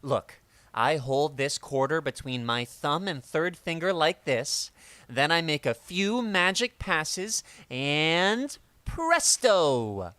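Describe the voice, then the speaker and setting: A man's voice: a little high, sing-song, slow, bright, forceful tone, dry recording, exaggerated voice. A man playing an eager, hapless teenage boy in a 1940s-style radio comedy, gabbing earnestly. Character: eager, hapless teenage boy schoolmate, showing off party tricks.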